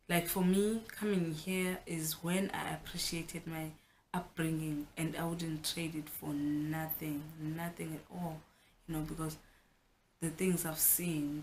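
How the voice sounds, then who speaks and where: A young woman with a South African accent and Xhosa as her first language, chatting to her camera at home. Her voice sits low and has a gravelly edge. A faint hiss runs under the recording, and she talks frankly, often restarting mid-sentence. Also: a little mellow, poor audio. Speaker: vlogger. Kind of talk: casual personal vlog.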